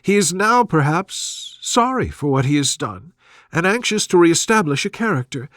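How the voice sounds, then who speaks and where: Guy doing a sweet earnest young lady from an old novel, raised pitch, lilting, forgiving. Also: very animated, normal speed, fairly bright tone, no room sound, studio quality. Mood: gentle concern. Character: kind, earnest young woman, gentle and forgiving.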